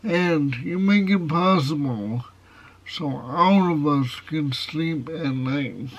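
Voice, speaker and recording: A man with a nasal, foghorn-like voice reviewing a movie in a casual video. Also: fairly high pitch. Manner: slow, measured delivery with plain opinions. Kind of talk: casual film review to camera. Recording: dull, lo-fi sound.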